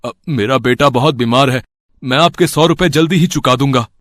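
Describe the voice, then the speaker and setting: A man's voice: medium pitch, moderate pace, fairly bright tone, no echo, studio quality, lightly acted. A man playing a shopkeeper and father with plain, earnest delivery in an amateur moral story. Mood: pleading. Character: greedy shopkeeper turned anxious, pleading father.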